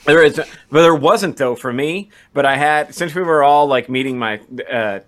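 A man's voice: a little high, moderate pace. A man co-hosting a podcast in casual conversation, often stammering and restarting his phrases. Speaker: podcast co-host.

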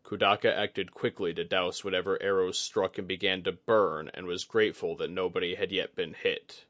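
A man's voice: low-pitched, steady tone, moderate pace, fairly bright tone, no room sound, clean studio sound. A man narrating a scripted fantasy story as an audiobook reader.

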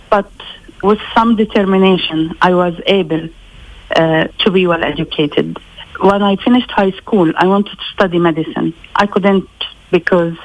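Woman from lebanon, slow careful answers, low voice, muffled phone audio. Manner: measured, thoughtful, slow with pauses. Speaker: interviewee. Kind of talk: recorded interview. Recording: lo-fi phone line.

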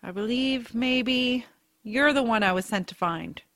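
A woman's voice: a little high, unhurried, fairly bright tone. Earnest, solemn wolf-tribe warrior woman, acted. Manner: formal, earnest. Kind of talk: audio drama acting.

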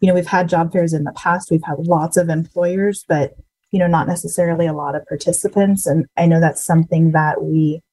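A woman's voice: low voice, fairly even intonation, brisk, warm tone, rough recording. A woman on a committee panel, talking casually with lots of hesitant fillers. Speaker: committee member.

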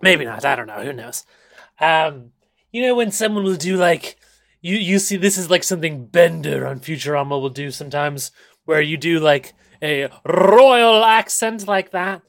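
Playful co-host, slightly hoarse. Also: medium pitch, expressive, normal speed. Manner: playful, theatrical sign-offs with laughs between lines. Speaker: co-host of a snack review show. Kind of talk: casual chat.

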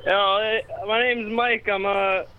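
A man voicing a rowdy young Canadian male caller phoning in from a car full of friends, with a loose, shouty manner. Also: high voice, steady tone, slow pace, clean tone, voice pushed up. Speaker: prank caller. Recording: tinny phone line.

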